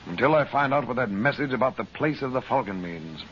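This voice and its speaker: Actor as resolute uncle in muffled old radio serial. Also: male voice, mid-range voice, animated, a little fast, a bit bright, slight background noise, natural voice. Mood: urgent, resolute. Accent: American. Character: resolute, worried American adventurer uncle, protective and brisk.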